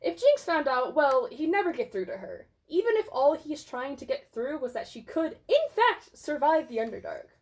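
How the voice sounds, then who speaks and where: Animated woman narrating comic fan fiction. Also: high-pitched, normal speed, hardly any pauses. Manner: playfully theatrical, tongue-in-cheek.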